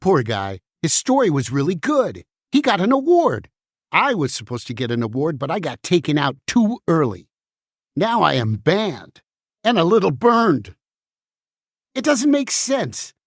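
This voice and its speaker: A performer voicing a wistful, wronged book in a musical puppet show, speaking deliberately and plaintively. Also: medium pitch, wildly swooping pitch, no echo, studio quality, exaggerated voice.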